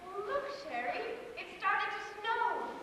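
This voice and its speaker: A woman's voice: extremely high pitch, expressive, a little slow, sharp tone, full character voice. An actress playing an efficient, dry-witted female secretary with a slyly cheerful, helpful manner in a stage comedy. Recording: echoey hall from far off, faint noise.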